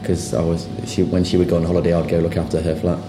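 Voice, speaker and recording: A man's voice: low voice, fast talker. Chatty young Englishman in a noisy bar. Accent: southern English. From England. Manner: relaxed, chatty, repeats words as he talks. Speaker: guest sign writer. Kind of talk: casual interview chat. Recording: echoey bar with loud noise.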